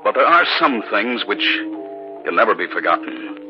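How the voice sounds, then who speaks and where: A troubled husband from a 1950s radio mystery, speaking in a low voice. The old broadcast sound is dull, with music behind. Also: male voice, sing-song, moderate pace. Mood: grave, brooding. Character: troubled husband Bert, recounting a haunting, easygoing at home. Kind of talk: 1950s radio drama acting and narration.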